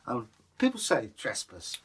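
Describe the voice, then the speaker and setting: A man's voice: mid-range voice, wildly swooping pitch, unhurried, slightly rough. A British man co-hosting as a storyteller, telling folktales and chatting in a casual, musing way. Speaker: co-host and storyteller.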